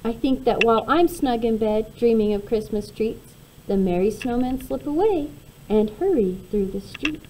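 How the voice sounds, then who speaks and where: Mellow, higher-voiced woman reading a storybook. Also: lively intonation, moderate pace, slight background noise, low-quality recording. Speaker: storybook reader. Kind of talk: read-aloud of a rhyming children's picture book.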